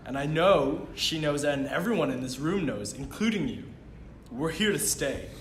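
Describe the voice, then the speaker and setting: A man's voice: fairly high pitch, expressive, moderate pace, lightly acted. Brash, taunting imaginary lad read by a man. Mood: taunting, scornful. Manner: brash, belittling. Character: taunting, foul-mouthed male figment, imaginary teenage tormentor. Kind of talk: script table read. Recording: roomy recording with background noise.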